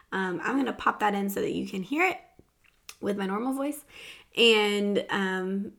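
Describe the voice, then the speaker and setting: A woman hosting a podcast in casual chat, hoarse and raspy from laryngitis, with lots of ums. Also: medium pitch. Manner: chatty, with frequent ums. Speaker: podcast host.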